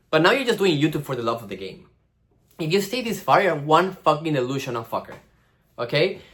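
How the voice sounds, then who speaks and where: A young man giving casual, animated advice on starting a vlog channel, swearing freely and acting out the algorithm in silly voices. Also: on the high side. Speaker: vlogger.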